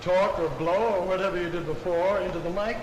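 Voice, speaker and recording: A knowledgeable exhibition guide, played by a man, speaks in 1930s film style. His voice is high for a man. The old soundtrack is echoey with faint noise. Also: steady tone, fairly quick, natural voice. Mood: informative. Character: genial, knowledgeable adult fair guide.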